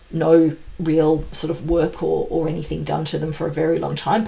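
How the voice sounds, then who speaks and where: Enthusiastic low-voiced woman presenter with creaky edge. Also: a little mellow. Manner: enthusiastic, conversational, emphatic. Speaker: tech channel presenter, pre-sales professional. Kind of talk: informal explainer commentary on product announcements.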